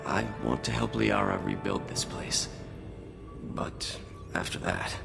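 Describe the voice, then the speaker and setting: A man voicing a weary, grateful alien freed from captivity in a video game, gravelly and subdued. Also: sits low, slow pace, over loud music, full character voice. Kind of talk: scripted video game voice acting.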